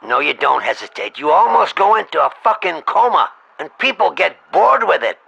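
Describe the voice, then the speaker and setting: A man's voice: high voice, very animated, normal speed, vocal fry, bright, forceful tone, breathy edge, full character voice. A man playing an exasperated, foul-mouthed talent agent in a comedy phone-call sketch, blunt and sarcastic as he scolds his client. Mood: sarcastic scolding. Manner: blunt, scolding, sarcastic, talking over the other.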